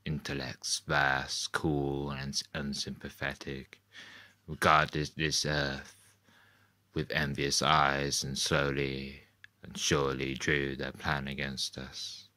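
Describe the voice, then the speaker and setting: A man playing a grave, ominous radio play narrator with a slow, solemn, measured delivery. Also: very deep voice, completely flat delivery, sharp tone, dry recording, voice pushed down, exaggerated voice. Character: grave, ominous male narrator of an alien invasion tale.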